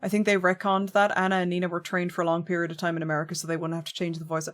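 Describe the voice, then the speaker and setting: A woman's voice: lowish voice, monotone, racing. A young woman chatting casually with her viewers while she plays, wry and rambling, often restarting her sentences. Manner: chatty, wry, frequent self-corrections. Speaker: streamer. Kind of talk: casual gameplay commentary, reading chat. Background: game music.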